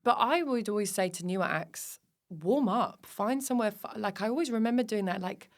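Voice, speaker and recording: Animated British woman chatting. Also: mid-range voice, brisk. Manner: sweary. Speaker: podcast guest, comedian; clown performer and teacher. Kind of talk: casual interview chat.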